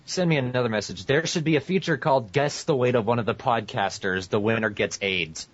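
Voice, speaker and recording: A man's voice: medium pitch, fairly quick, no echo. A young man joking crudely in casual group banter as a podcast co-host. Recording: dull lo-fi voice chat.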